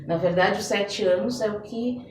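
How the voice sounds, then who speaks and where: A woman's voice: medium pitch, moderate pace, background noise. A Brazilian woman answering in an interview as a guest, telling her experiences expressively and often checking for agreement. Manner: expressive storytelling, often seeking agreement with tags. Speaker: interview guest, therapist and theater director. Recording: echoey room, distant microphone.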